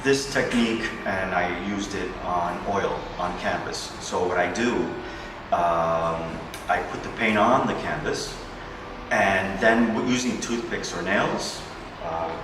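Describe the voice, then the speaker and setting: Very deep-voiced man in an echoey gallery. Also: noisy background. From New York, USA. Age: 50s. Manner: halting, many pauses and fillers. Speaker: painter presenting his work. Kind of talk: gallery walk-through talk. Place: indoor art gallery. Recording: echoey gallery from a distance, music behind. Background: music.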